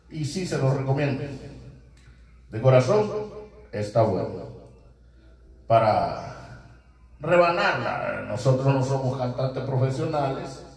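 Chatty man through echoey karaoke mic. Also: medium pitch. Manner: relaxed, chatty, upbeat. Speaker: product reviewer. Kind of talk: casual product demo. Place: small home living room, indoors. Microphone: handheld karaoke mic through speaker, filmed by far camera. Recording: echoey karaoke speaker, far camera.

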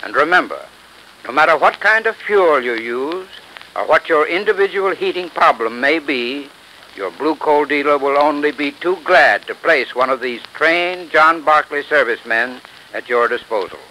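Bright-voiced American radio spokesman. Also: on the high side, animated, moderate pace. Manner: formal, clearly scripted. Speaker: advertising spokesman, heating expert. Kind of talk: 1930s radio sponsor pitch. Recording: noisy 1930s radio recording.

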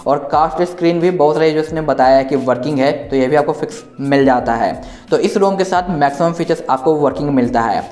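A man's voice: mid-range voice, darkish tone. Indian man in an echoey room. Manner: explanatory, addresses viewers directly. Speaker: tech reviewer, host. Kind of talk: informal smartphone software walkthrough. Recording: echoey, roomy sound.

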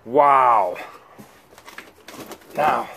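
Excitable man unboxing storage finds. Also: mid-range voice, very slow, creaky, noisy background. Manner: excitable, exclaims over each find. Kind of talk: casual unboxing chat.

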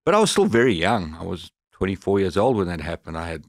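A middle-aged man with a deep voice and a Zimbabwean accent talks as a podcast guest. He tells his story conversationally, in a very dry recording. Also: very animated, quick pace, no room sound. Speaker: podcast guest, professional hunter.

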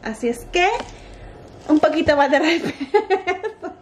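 A woman's voice: sits high, lively intonation, takes it slowly, slight background noise. A woman in her thirties vlogging in her home kitchen on a handheld phone, chatty and playful but tired and sleepy. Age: 30s. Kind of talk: casual home vlog. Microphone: handheld phone camera.

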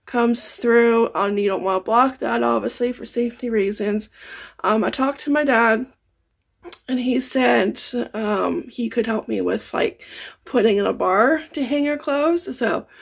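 A woman in her thirties chatting casually to camera at home as a lifestyle vlogger, laughing often as she talks through her chores. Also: fairly high pitch. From Canada. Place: bedroom at home, indoors.

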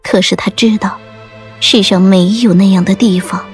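A woman reading a dramatic audiobook story in a measured, literary storytelling style. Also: mid-range voice, steady tone. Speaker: audiobook narrator. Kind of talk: dramatic scripted storytelling narration. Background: faint music.

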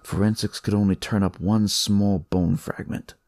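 A man playing a jaded, crude police detective, narrating his noir story in a wry, world-weary, conversational way with a gravelly, husky voice. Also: fairly low pitch, steady tone, moderate pace, darkish tone, no echo, studio quality, natural voice. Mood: wry, confiding. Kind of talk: noir first-person scripted narration.

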